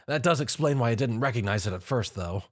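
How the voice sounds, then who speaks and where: A man's voice: medium pitch, expressive, fast, no room sound, clean studio sound, close to an everyday voice. A man voicing an awkward young male human hero who stammers when flustered.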